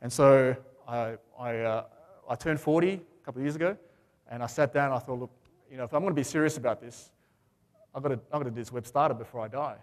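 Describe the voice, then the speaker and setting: A man, giving a talk on a conference stage in a large hall. He talks in a relaxed, rambling way with lots of ums and uhs. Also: mid-range voice, quick pace. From Australia. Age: 40s. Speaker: conference speaker.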